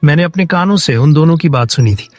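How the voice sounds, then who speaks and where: A man's voice: fairly high pitch, animated, fast, a little mellow, no room sound, soft background music, lightly acted. A man playing a poor, honest farmer in a cartoon moral story, earnest and given to repeating phrases for emphasis. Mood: urgent, humble. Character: poor honest woodcutter farmer, kind and principled.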